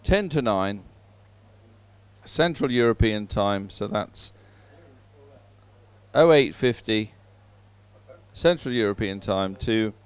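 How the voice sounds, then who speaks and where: A man giving unhurried live sports commentary, filling time between races in halting phrases with frequent pauses. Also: on the low side, animated, clean tone.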